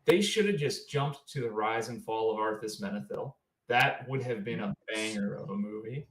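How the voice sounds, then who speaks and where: A man's voice: fairly low pitch, moderate pace, fairly bright tone, roomy sound, slight background noise, poor audio. A man co-hosting a casual podcast, giving his opinions conversationally. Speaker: podcast co-host.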